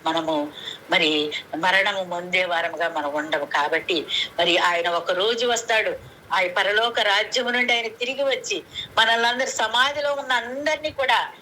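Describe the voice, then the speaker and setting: Noisy conference call, woman w preaching, sharp forceful voice, repeats phrases to drive it home. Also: mid-range voice, moderate pace. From Andhra Pradesh, India. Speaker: lay preacher. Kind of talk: sermon, retelling scripture to a prayer meeting. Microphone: conference call phone.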